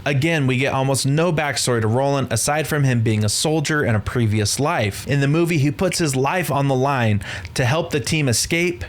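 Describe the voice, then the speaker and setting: Casting: male movie critic, mid-pitched, scripted narration, sardonic and dismissive. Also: moderate pace. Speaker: film reviewer. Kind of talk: scripted video essay commentary.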